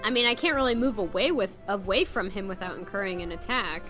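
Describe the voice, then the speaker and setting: A woman playing a tabletop game, chatting casually and thinking her moves through aloud in a deliberate way. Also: medium pitch, a bit bright. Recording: music behind the voice. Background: game soundtrack music.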